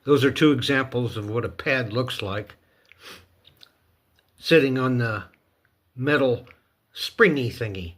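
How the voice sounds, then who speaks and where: Slow-talking, folksy man. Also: medium pitch, fairly bright tone. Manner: folksy, playful, talks himself through steps. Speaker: host of a DIY repair video. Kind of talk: casual hands-on tutorial narration.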